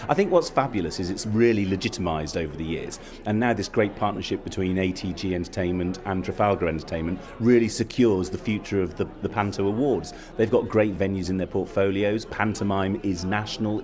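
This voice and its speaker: Lowish man amid busy crowd chatter. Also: a little fast, few pauses. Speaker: interview guest, joint chief executive of a theatre company. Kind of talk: upbeat interview talk. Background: event crowd.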